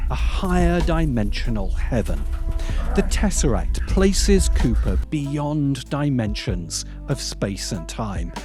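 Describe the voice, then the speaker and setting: A man narrating a scripted video essay in a measured way, with emphatic pauses and wry asides. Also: mid-range voice, dark tone.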